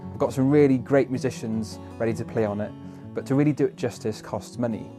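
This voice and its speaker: A man from West Wales, a folk musician, speaking in a measured, thoughtful way with hesitant pauses. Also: mid-range voice. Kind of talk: reflective spoken appeal for a project. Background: plucked guitar music.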